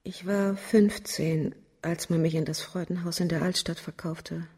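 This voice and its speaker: An actress playing a bitter, world-weary murderess in a German radio crime drama, speaking haltingly and often sinking into whispers. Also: female voice, on the low side, steady tone, a little mellow, slightly rough.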